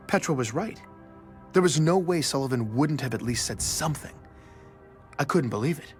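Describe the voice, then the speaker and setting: A man's voice: medium pitch, expressive, normal speed, soft background music, close to an everyday voice. An actor playing an earnest, easily awed male journalist who narrates his story in a scripted audio drama. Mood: relieved, awed.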